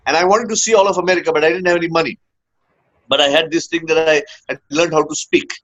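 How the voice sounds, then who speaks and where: A man's voice: on the high side, fairly even intonation, fast talker, fairly bright tone. A man from India, a leadership coach telling his story in a casual interview, full of fillers and "huh?" tags. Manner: rambling, many "uh" fillers and tag "huh?". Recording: dull lo-fi audio.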